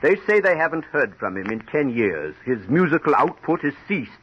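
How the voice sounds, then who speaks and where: Measured gentleman friend, lively intonation. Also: on the high side, husky. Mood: grave, informative. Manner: measured, soothing, formal. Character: reasonable, concerned gentleman friend of the composer. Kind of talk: old-time radio drama acting. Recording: muffled, noisy vintage radio recording.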